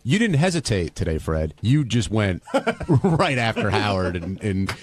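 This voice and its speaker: A man's voice: lowish voice, sing-song, measured pace. A man hosting a talk radio show, chatting casually and taking calls.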